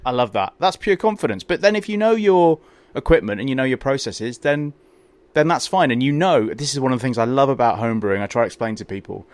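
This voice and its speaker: A man's voice: medium pitch, expressive, quick pace. Chatty London man hosting a podcast. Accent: London English. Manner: enthusiastic, chatty, repeats words when starting a thought. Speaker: podcast host. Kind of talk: casual interview chat. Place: small home brewery.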